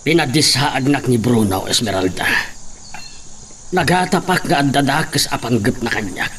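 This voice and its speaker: A man's voice: mid-range voice, expressive, normal speed, a bit bright, a little hiss or hum. A man playing a wronged old labourer and father in a radio drama, earnest, resolute and set on revenge. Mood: aggrieved, resolute.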